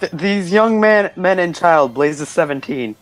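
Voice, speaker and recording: Young male tabletop player, informal chatter, music low underneath. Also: expressive, unhurried. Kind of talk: casual chat.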